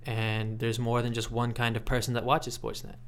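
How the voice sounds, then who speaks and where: A man giving reflective interview commentary as a podcast guest, in a measured, thoughtful and halting way. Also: mid-range voice, monotone. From Canada. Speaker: podcast guest, sports reporter.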